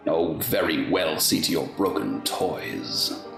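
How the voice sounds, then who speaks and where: Man acting menacing fey king, radio play villain, slow and measured, roomy echo, haughty, formal, gravelly. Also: rumbling low, animated, over music, exaggerated voice. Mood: smug disdain. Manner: slow, disdainful, formal theatrical delivery.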